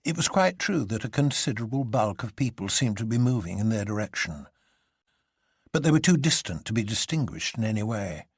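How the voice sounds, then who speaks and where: A man narrates classic fiction in a dramatic manner. His voice is husky with a gravelly creak, recorded close and dry. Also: fairly low pitch, very animated, brisk, dry recording. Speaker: audiobook narrator.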